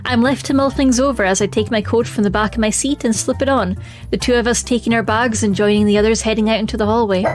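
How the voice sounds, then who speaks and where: A woman's voice: a little high, fairly even intonation, fast talker. A woman streaming a game, chatting expressively and reading the story text aloud. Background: faint music.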